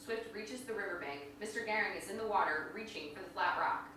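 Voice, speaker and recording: Woman, scripted narration, stage directions, bright clear-cut tone, distant mic, very echoey venue. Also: mid-range voice, a little fast. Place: indoor venue, staged reading. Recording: very echoey room from far off, noisy.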